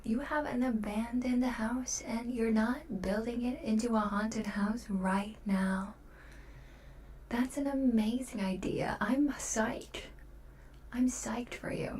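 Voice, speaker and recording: Slow, whispering woman ASMR streamer. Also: mid-range voice, a little mellow, a little hiss or hum. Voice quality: breathy whispering. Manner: playful, chatty. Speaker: ASMR livestreamer. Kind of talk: ASMR, whispered casual chat with viewers. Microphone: close to the mic.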